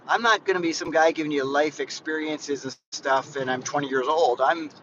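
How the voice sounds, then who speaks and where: A man's voice: fairly high pitch, steady tone, moderate pace, dull audio. A man in his fifties rambling casually in a live vlog while driving, with many restarts and frequent asides to his viewers. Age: 50s. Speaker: fitness vlogger. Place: inside a moving car. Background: faint car and road noise.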